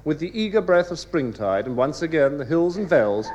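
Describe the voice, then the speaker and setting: An actor playing a flowery, lyrical nature narrator in a radio comedy. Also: male voice, fairly high pitch, lively intonation, brisk, darkish tone, lo-fi sound, a little hiss or hum, close to an everyday voice. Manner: lyrical, ornate. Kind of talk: florid poetic radio narration.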